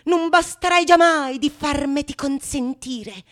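A woman's voice: sits high, a little slow, voice pushed up. A woman voicing an indignant, coy married woman who protests melodramatically and shrilly in a radio theatre play. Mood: outraged threats. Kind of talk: stage acting in radio theatre.

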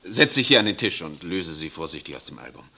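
A man's voice: low-pitched, big pitch swings, fairly quick, gravelly, sharp tone, full character voice. An actor playing an irritable, pessimistic, bookish husband and father in a 1960s radio drama, gloomy and curt.

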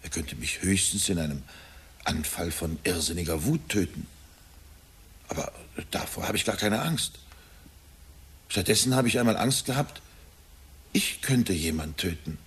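Low, husky anxious middle-aged man. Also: animated, unhurried, pauses often, fairly bright tone, heavily acted. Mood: anxious, confiding. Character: anxious, brooding, evasive husband and father, middle-aged man. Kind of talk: German radio drama acting.

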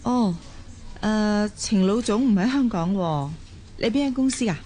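A woman acting a cheeky, gossipy office colleague in a radio drama, animated and teasing, with a Hong Kong Cantonese accent. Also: medium pitch, unhurried, a little hiss or hum, natural voice. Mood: businesslike.

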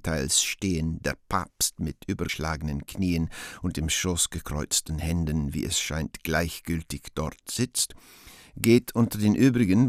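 A man's voice: low-pitched, no room sound, clean studio sound. A man reading scripted stage directions as narrator of a radio play.

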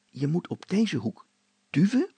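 An excitable young man from a storybook , acted out by a male reader. He is eager and urgent, pressing his point insistently in a husky voice with a close, dry sound. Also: on the high side, measured pace, dry recording, heavily acted. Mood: hushed excitement.

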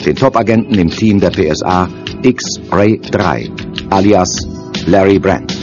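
A man's voice: sits low, measured pace. A man reading scripted narration for a German audio drama as its storyteller. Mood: dramatic. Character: storyteller introducing a top agent's mission. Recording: dull sound under clear music.

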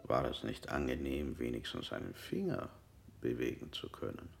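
An actor playing a calm male interrogator in a 1960s radio play. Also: extremely low pitch, moderate pace, gravelly, over music, heavily acted. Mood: probing. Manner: calm, probing questions. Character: cold male interrogator, middle-aged.

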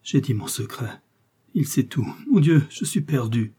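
A man's voice: mid-range voice, expressive, measured pace, warm tone, slightly rough, exaggerated voice. A man voicing a young, scheming woman prisoner who feigns pious, desperate anguish in a theatrical, pleading manner for a storybook. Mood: hushed despair.

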